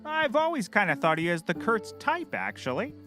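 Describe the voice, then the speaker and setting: A man playing a petty, excitable, bombastic private investigator with a bickering, theatrical delivery in a comedy. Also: high-pitched, a little fast, music in the background. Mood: gleeful.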